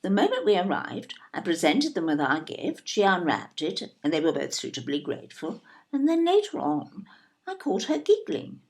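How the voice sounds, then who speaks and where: Older English wife for audio play: affronted, snobbish, fussy, very swooping delivery, lower-pitched. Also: female voice, huge pitch swings, moderate pace, a little mellow. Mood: affronted, baffled. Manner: emphatic, repetitive, tag questions.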